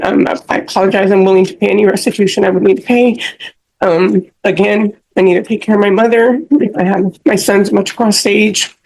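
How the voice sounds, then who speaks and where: A woman's voice: mid-range voice, creaky, darkish tone, breathy edge, rough recording. A middle-aged woman testifying as a defendant in a courtroom, apologetic and pleading.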